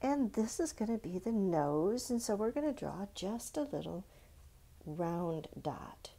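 Slow-paced woman teaching children to draw. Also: medium pitch, very animated, creaky, mellow, husky. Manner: simple, encouraging, instructional, asks the listener questions. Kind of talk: step-by-step art tutorial for young children.

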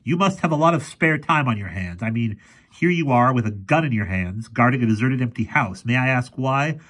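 A man acts out a wry, excitable professor in a storybook, raised well above a low register. His wording is formal and his delivery testy and emphatic. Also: normal speed, husky. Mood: strained, controlled. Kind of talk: audiobook character voice.

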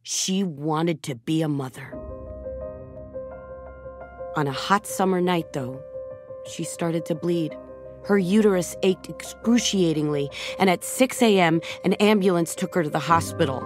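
A middle-aged woman narrating a children's storybook in a gentle, measured way, softening her voice at tender moments. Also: on the low side. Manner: gentle, measured storytelling with soft dips in volume. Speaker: audiobook narrator. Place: recording studio. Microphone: close studio microphone. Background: background music.

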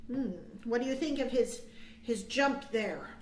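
A middle-aged woman reading a picture book aloud to young children with expressive, dramatic emphasis and chatty asides, as a storytime host. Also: a little high, a little slow, a little hiss or hum. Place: small indoor room. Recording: roomy, echoing indoor sound.

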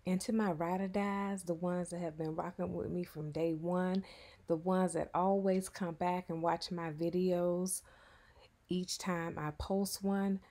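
A woman's voice: lowish voice, little pitch movement, measured pace, warm tone. A woman in her fifties narrating a cleaning vlog in a chatty, affectionate voice-over, often calling her viewers y'all. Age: 50s. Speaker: cleaning vlogger.

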